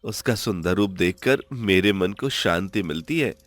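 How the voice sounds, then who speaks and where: A man voicing a humble, lovestruck young village blacksmith, earnest and halting, in a Hindi cartoon story. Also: deep voice, animated. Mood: smitten.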